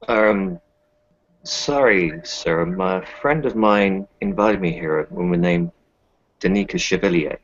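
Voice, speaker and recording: A courteous gentleman doctor from a roleplaying game , played by a man. His voice is deep and his manner formal and composed. The audio is dull and lo-fi. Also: takes it slowly. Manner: polite, formal.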